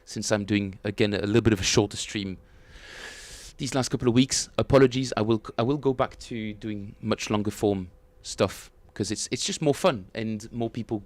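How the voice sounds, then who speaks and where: A man with a French accent, chatting casually and self-mockingly with viewers as he streams his bass practice, often chuckling. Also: normal speed, dry recording. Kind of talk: casual livestream chat.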